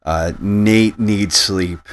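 A man's voice: fairly low pitch, fairly even intonation, extremely slow. A man co-hosting a casual podcast chat, who talks in a laid-back, jokey way and halts with many fillers. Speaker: podcast co-host.

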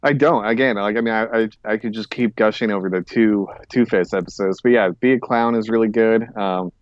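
A man's voice: on the low side, fairly even intonation, a little fast, dry recording, dull audio. A man co-hosting a podcast in a casual review chat, rambling, hesitant and often correcting himself. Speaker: podcast co-host.

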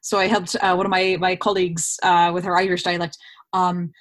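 A woman actor talking casually as an interview guest, animated and given to slipping into dialect voices. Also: lowish voice, a little fast.

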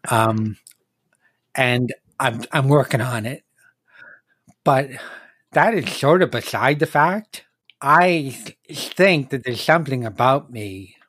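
Remorseful male war-beast performed by a game master: lisping, halting, earnest, slow, for a tabletop game. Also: medium pitch, expressive, a bit bright, dry recording. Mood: sheepish. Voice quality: lateral lisp.

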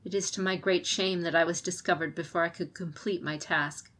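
A woman reading the lines of a hesitant, ashamed female noble in an audiobook, softly and regretfully. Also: lowish voice, fairly even intonation, a little fast. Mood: sorrowful.

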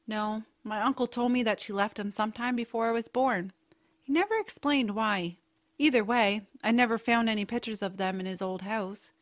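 A woman playing a kindly, whimsical aunt in a family audio drama, speaking with gentle earnestness. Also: on the high side, moderate pace, lightly acted. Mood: wistful, sincere. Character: kindly, whimsical aunt, bookish home cook.

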